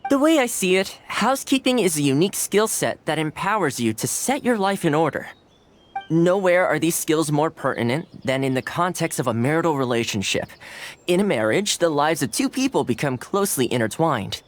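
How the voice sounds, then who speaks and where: A man's voice: fairly high pitch, expressive, moderate pace, a little hiss or hum, close to an everyday voice. An actor playing an earnest, polite young man who works as a housekeeper in a video game, friendly and modest. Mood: earnest, instructive. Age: young adult.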